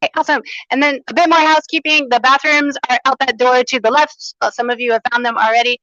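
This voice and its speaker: A woman's voice: sits high, steady tone, quick pace, no echo, muffled recording. A woman hosting a small conference, giving upbeat welcoming remarks and housekeeping notes without a microphone. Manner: upbeat, enthusiastic, loud unamplified voice. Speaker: conference coordinator and host. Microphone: no microphone, room recording.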